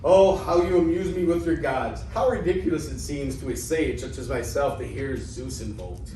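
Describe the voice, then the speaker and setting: A man's voice: medium pitch, normal speed, warm tone, faint music behind, natural voice. A man acting a crafty, mocking old Greek father in an amateur reading of a classical comedy, pompous and theatrical. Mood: scornful, pompous. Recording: echoey room, distant mic, faint noise.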